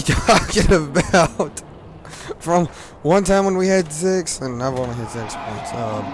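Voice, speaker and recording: Hoarse teen gamer, loose rambling delivery, faint noise floor. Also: male voice, on the high side. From Louisiana, US. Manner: rambling, laughs often, many fillers. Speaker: gaming commentator. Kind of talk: casual gaming commentary.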